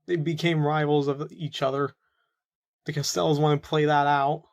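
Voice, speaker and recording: Man acting a young, higher-pitched captive informant. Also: little pitch movement, normal speed, lightly acted. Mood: earnest, uneasy. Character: young male captive mafia informant, bargaining for freedom. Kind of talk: audio roleplay acting.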